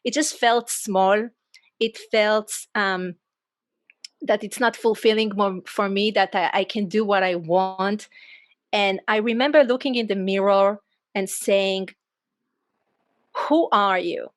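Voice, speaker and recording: A woman's voice: medium pitch, takes it slowly, long pauses, dry recording, studio quality. A woman in her forties from Israel with an Israeli accent, Hebrew her first language, speaking expressively as a guest on a video call through an earphone mic. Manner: expressive, talks with her hands, says "right?" often. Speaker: guest, coach and author. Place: small room at home, wall of sticky notes. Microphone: earphone headset mic on a video call.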